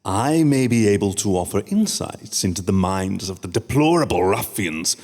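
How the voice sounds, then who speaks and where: A man playing a scheming, oily male pony courtier in a fan-made radio drama, speaking in a smooth, fawning and sly way. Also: lowish voice, animated, moderate pace, a little mellow. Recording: long hall reverb.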